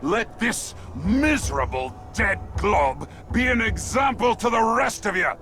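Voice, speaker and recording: A man's voice: sits high, big pitch swings, unhurried, full character voice. A man playing a brutal orc warlord in a fantasy game, bellowing threats at his underlings. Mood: furious. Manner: shouting, threatening. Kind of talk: cinematic fantasy game creature acting. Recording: music behind the voice.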